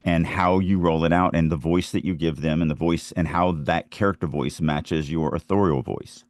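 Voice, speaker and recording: A man's voice: very deep voice, fairly even intonation, normal speed, no echo. A man co-hosting a podcast, casually discussing the craft of writing. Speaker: podcast co-host and writer.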